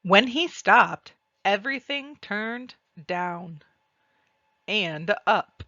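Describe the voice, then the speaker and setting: A woman reading a children's storybook aloud and describing its pictures, with deliberate, expressive phrasing. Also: fairly low pitch, no room sound, highs cut off. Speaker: read-aloud narrator.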